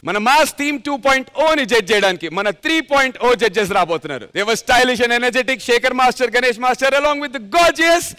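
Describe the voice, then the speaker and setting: A man's voice: very high-pitched, animated, fairly quick, hardly any pauses, sharp tone, smooth. A man presenting a TV show on stage as host, stretching out his introductions with energy. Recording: music playing behind the voice.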